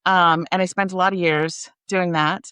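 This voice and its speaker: A middle-aged woman with an American accent speaks as an interview guest. Her voice is on the low side and notably clean and smooth, recorded dry and close. She answers conversationally, in a measured and thoughtful manner. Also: steady tone, fairly bright tone, no echo. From raised in Kansas, United States. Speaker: interview guest, nonprofit executive.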